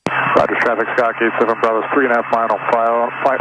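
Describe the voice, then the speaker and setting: Quick American man over very noisy radio. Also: mid-range voice, level tone. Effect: aircraft radio transmission. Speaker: pilot of another aircraft. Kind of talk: casual aviation radio calls.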